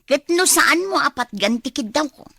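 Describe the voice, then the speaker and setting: A woman's voice: high-pitched, expressive, moderate pace, a bit bright, no room sound, studio quality. An actress playing an envious, demanding young girlfriend in a radio drama, with an Ilocano Filipino accent. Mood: demanding, pouting.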